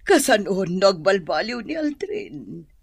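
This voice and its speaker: An actress playing a worried middle-aged mother in a radio drama, husky and pleading. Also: mid-range voice, very animated, takes it slowly, dry recording, studio quality, exaggerated voice. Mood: anxious.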